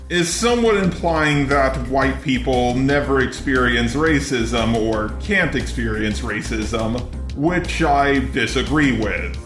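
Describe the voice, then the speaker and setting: A synthetic male text-to-speech voice at a medium pitch reads scripted commentary. It sounds roomy, with music playing softly behind it. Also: lively intonation, takes it slowly.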